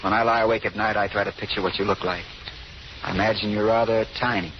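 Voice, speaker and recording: An actor playing a charming, flirtatious scientist and patient who jokes and courts in vintage radio drama style. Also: male voice, on the low side, fairly even intonation, quick pace, natural voice. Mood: charming, teasing. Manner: bantering, courting, playful. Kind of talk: 1930s-40s radio drama acting. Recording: dull, noisy old radio broadcast.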